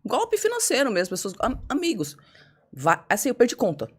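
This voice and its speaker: A woman's voice: on the high side, wildly swooping pitch, brisk, slightly rough. A woman guest on a podcast, telling a personal story candidly and acting out her own past words.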